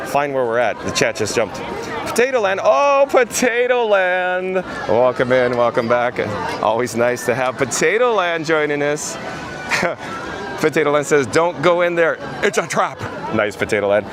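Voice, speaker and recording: A man with a slightly hoarse, raspy voice hosts a live outdoor stream, upbeat, greeting viewers by name and reading their chat messages aloud. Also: medium pitch. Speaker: livestream host. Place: outdoors at a busy theme park street at dusk. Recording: crowd and street noise behind. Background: crowd chatter and outdoor noise.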